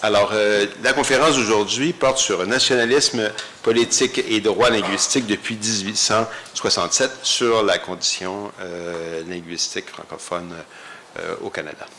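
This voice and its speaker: A man's voice: fairly low pitch, steady tone, normal speed, bright, forceful tone, slight background noise. A man chairing a university colloquium, introducing the next speaker with many hesitations. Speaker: conference chair. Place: university lecture hall.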